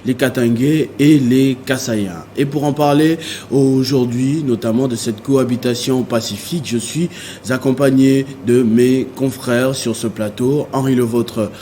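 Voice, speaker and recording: Mellow Congolese male panel host. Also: mid-range voice, fairly even intonation, a little slow. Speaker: talk show host. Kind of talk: moderating a political panel. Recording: faint noise on studio recording.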